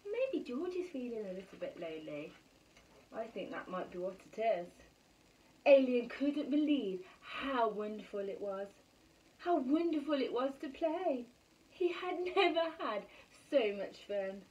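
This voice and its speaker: Female children's story reader, thirties: highish, very lively, unhurried, recorded a little away from the mic. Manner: animated, exclaiming, asking rhetorical questions. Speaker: primary school bedtime story reader. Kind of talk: expressive picture-book reading for children. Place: small room at home. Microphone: camera a metre or two away. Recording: slightly distant phone camera recording.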